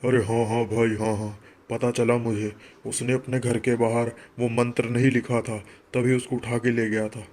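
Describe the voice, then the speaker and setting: A man's voice: mid-range voice, level tone, normal speed, few pauses, a little mellow, slight background noise, lightly acted. Earnest, worried village man acted by a man. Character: worried village men discussing a ghost.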